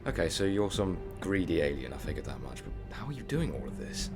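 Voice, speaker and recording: A man playing a quick-witted, defiant human time traveller in a sci-fi audio drama, sardonic and chatty as he thinks aloud. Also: sits low, sing-song. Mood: wary, probing. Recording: music behind the voice.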